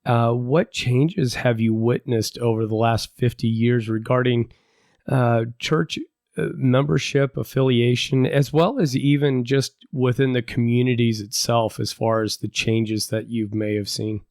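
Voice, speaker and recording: A man's voice: mid-range voice, fairly even intonation, a little slow, darkish tone, dry recording, clean studio sound. An older man hosting a podcast, a little stuffy and plugged up from a head cold, speaking in an upbeat, friendly way. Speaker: podcast host. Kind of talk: casual podcast interview.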